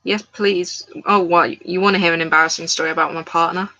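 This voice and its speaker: A woman's voice: lowish voice, fairly even intonation, brisk, sharp tone, lo-fi sound. Chatty woman live-streaming crafts. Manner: rambling, chatty, addresses viewers in chat. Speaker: crafts livestreamer. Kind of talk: casual live-stream chat. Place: at home, indoors.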